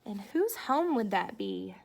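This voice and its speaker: A woman's voice: high-pitched, big pitch swings, a little slow, a little mellow. A woman schoolteacher chatting to young pupils in a child-directed way, asking questions during a read-aloud.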